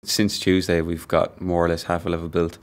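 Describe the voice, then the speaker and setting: Man, team leader; very deep, flat, quick, crisp. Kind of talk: casual interview answers.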